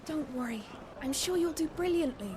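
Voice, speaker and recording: An actress playing a caring, quietly anxious young noblewoman in a video game, soft-spoken and wistful with a British accent. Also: high voice, animated, moderate pace, background noise. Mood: warm, encouraging. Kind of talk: video game cutscene acting.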